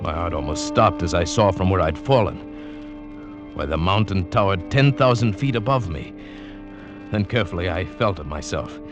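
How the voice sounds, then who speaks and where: An actor playing an earnest, superstitious South American mountain guide telling his perilous adventure in a measured, dramatic 1940s radio style. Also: deep voice, lively intonation, muffled recording. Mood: hushed wonder. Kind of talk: 1940s-50s radio drama acting and narration. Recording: vintage radio recording with music.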